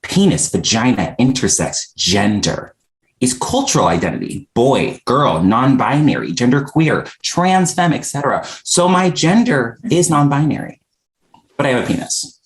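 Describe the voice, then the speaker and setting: A man's voice: mid-range voice, very animated, moderate pace, natural voice. A man acting a frank, exasperated young non-binary dinner guest in a comedy script table read, talking in a candid, wry way. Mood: patient, explaining.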